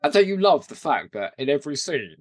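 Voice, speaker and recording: British male podcast co-host, lively swooping pitch, enthusiastic and jokey, dry close sound. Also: no room sound. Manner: enthusiastic, jokey, quick to laugh. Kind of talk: casual TV rewatch chat.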